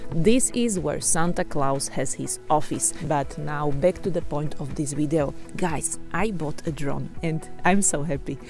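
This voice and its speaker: A woman's voice: sits low, a little slow, music in the background. A woman in her thirties from Slovakia with a Slovak accent, narrating a casual tech unboxing with playful, self-mocking asides. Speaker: tech vlogger.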